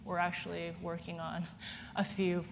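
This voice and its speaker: A woman's voice: fairly low pitch, monotone, moderate pace, gravelly. A woman from Hong Kong speaking as a panelist at a conference, chatty and full of fillers and restarts. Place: conference hall. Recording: reverberant hall with faint noise.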